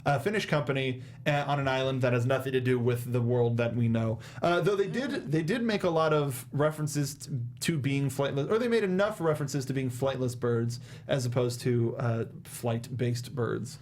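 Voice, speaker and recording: A young man co-hosting a casual movie discussion, speaking in a halting, emphatic way. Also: mid-range voice, darkish tone. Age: young adult. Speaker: podcast co-host. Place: small indoor talk-show studio. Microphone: studio microphone with red windscreen, headset monitoring. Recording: clear background noise.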